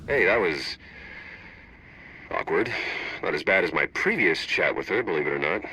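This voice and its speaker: An actor playing an earnest, awkward Republic agent in a video game, pleading haltingly. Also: male voice, low voice, animated, pushed and bright, slight background noise, full character voice, rough recording.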